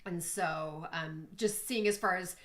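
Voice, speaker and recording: A woman's voice: on the low side, measured pace, fairly bright tone. A woman in a casual coaching talk, chatty and thinking aloud with lots of fillers. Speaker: business owner being coached.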